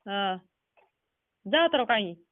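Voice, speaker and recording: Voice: mid-range voice, animated, drawn-out words, no echo. A person with a Rajasthani accent speaking informally on a phone call, asking insistent, repeated questions. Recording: muffled band-limited phone call recording.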